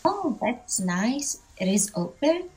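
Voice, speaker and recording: A young woman from Belgium talks in a slightly high voice, full of gushing exclamations. She is heard through a video call from an indoor room, with faint music underneath. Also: big pitch swings, takes it slowly, darkish tone. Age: young adult. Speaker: video chat stranger. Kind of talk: casual video chat.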